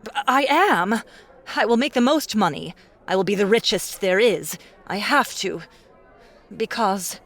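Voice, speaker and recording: A man's voice: high voice, animated, measured pace, a bit bright, pitched above the performer's own voice, exaggerated voice. A man voicing a greedy, pushy young woman fighter who haggles and makes demands, in an audiobook. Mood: flustered.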